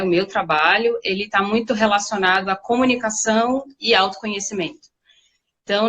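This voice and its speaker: A woman in her forties with a Bahian accent and a mid-pitched voice. She speaks conversationally and drops in playful asides. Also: fairly bright tone. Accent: Bahian Portuguese. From Salvador, Bahia, Brazil. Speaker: guest speaker. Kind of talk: casual presentation talk.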